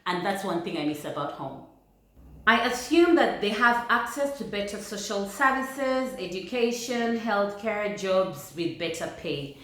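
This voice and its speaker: A woman from Uganda with a Ugandan accent, talking through points in a casual vlog in a measured, thoughtful way. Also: medium pitch, animated, fairly bright tone. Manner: thoughtful, measured, laughs lightly between points. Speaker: vlogger. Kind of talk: casual vlog talk to camera. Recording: very echoey room.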